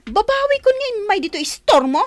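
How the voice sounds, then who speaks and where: A woman's voice: very high voice, very animated, fairly quick. A woman playing a huffy, easily offended young villager in an Ilocano comedy radio drama, indignant and sulky.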